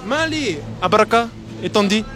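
A man's voice: sits high, animated, normal speed, over music. A man chatting with strangers on the street in a friendly, playful way, switching between languages. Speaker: street video creator speaking many languages. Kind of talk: street conversation.

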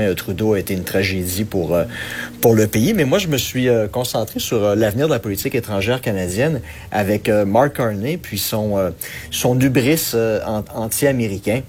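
A man's voice: lowish voice, a little mellow, slight background noise. A man with a Québécois French accent giving political commentary, earnest and analytical with frequent hesitations. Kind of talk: radio commentary segment.

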